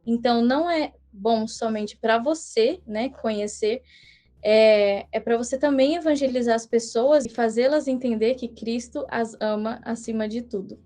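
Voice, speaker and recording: A young woman whose first language is English, telling her story as a guest on a podcast in an earnest, measured way with small restarts. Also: on the high side, warm tone, faint music behind. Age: young adult. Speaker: guest missionary. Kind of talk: casual podcast interview.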